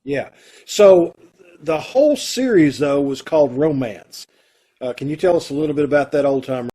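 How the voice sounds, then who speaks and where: Folksy guy hosting an online show on a video call, voice a bit high, chatty and casual. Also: expressive, moderate pace, dark tone, husky. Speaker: host of an amateur radio theatre troupe's online show. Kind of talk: casual welcoming chat to an online audience.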